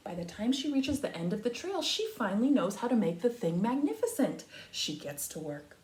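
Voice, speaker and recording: A woman reading a picture book aloud to young children like a teacher, with expressive, emphatic phrasing and explanatory asides. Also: on the high side, brisk. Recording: echoey room, faint background noise.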